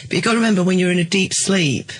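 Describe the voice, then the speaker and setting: A woman's voice: lowish voice, very fast. An earnest, emphatic British woman recounting a personal experience as a guest in a radio interview.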